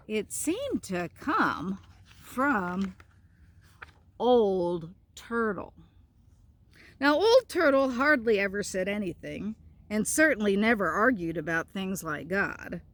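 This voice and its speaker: A woman with a bright, mid-pitched voice reads a picture book aloud outdoors. She phrases the story with expression and steps out of it for casual, chatty asides. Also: animated, takes it slowly, no room sound. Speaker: storyteller, pastor. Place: outdoors in a park.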